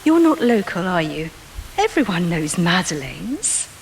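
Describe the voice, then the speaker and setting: Imperious middle-aged woman, wry, slow delivery, lively intonation, faint ambient noise, audio drama. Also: on the low side, rough recording. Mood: arch, wry. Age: 40s. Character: worldly, imperious, witty woman of forty.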